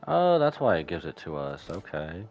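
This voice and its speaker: A man's voice: deep voice, wildly swooping pitch, normal speed, darkish tone, muffled recording. A man casually commentating on a livestream as a game streamer, rambling and loosely thinking aloud. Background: music.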